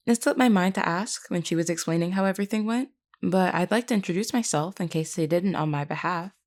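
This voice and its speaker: A woman playing a gentle, courteous vampire queen in an intimate audio roleplay, speaking politely and reassuringly. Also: lowish voice, fairly quick, lightly acted. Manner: polite, soft-spoken reassurance with hesitant asides.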